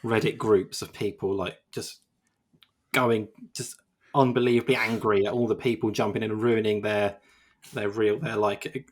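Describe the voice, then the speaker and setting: A man's voice: fairly low pitch, fairly even intonation, normal speed. A man co-hosting a casual games podcast, rambling and self-deprecating with lots of fillers. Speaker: games journalist co-host.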